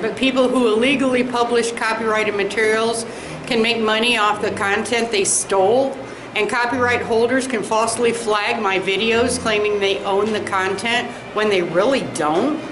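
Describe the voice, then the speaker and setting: A woman's voice: fairly high pitch, normal speed, lightly acted. A woman playing an aggrieved video creator, questioning pointedly in a comedy sketch. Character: aggrieved female video creator challenging an executive. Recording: echoey room with background noise.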